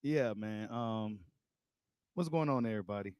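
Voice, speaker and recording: A man's voice: fairly low pitch, moderate pace, darkish tone, clear, resonant tone, no echo, muffled recording. An American man hosting a boxing talk livestream, chatting casually in slangy, informal speech and repeating phrases for emphasis. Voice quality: voice getting slightly worn. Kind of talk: casual livestream chat and commentary.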